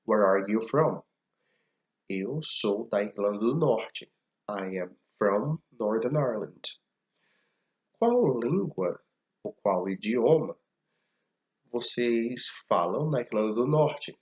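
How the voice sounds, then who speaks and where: Slow, deliberate male teacher, muffled. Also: lowish voice, animated, a little mellow. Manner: slow, deliberate, repeats each phrase for learners. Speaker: language teacher. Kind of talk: recorded slide lesson.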